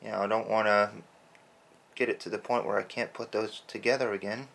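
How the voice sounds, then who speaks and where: A man quietly rambling as he paints a toy, his throat a little rough from early-morning allergies. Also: lowish voice, flat delivery, brisk. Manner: soft-spoken, rambling with fillers. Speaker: toy customizer. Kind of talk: casual hobby narration while painting.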